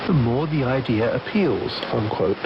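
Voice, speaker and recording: A man's voice: mid-range voice, expressive, a little slow. A man presenting a radio programme, reading scripted history narration over a shortwave broadcast. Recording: dull shortwave radio reception with heavy hiss.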